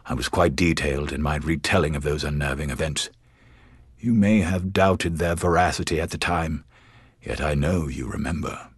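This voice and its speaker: An actor playing a grave, haunted English sea captain in a board game, reading a letter with formal, theatrical solemnity. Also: male voice, low-pitched, husky, no echo, studio quality. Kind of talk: dramatic letter narration.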